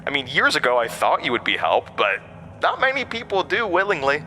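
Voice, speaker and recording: Bright, echoing, theatrical supernatural outcast. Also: male voice, fairly high pitch, wildly swooping pitch, a little fast, full character voice. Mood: taunting. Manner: taunting, dramatic storytelling with swooping emphasis. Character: ancient wounded supernatural outcast, manipulative, theatrical, bitter. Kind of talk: horror audio drama acting. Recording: long cavernous reverb, faint noise.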